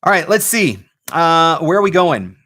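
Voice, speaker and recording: A man's voice: on the high side, big pitch swings, a little slow, sharp tone. Emphatic man hosting a live advice session. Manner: emphatic, uses rhetorical questions. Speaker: host, voice-over marketing coach. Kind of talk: live question-and-answer advice talk.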